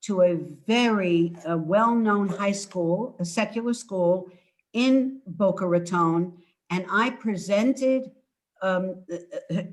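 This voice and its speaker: An older woman speaks at an unhurried, slow pace, pausing and restarting, then leaning on key words. She sounds like an author giving an informal talk from home on a video call. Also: medium pitch. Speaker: author presenting her book. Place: indoors at home.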